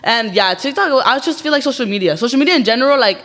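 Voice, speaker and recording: A young woman from the United States with a deep voice, a podcast guest chatting bluntly and swearing freely while switching between English and Spanish. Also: lively intonation, fast talker, fairly bright tone. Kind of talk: casual chat.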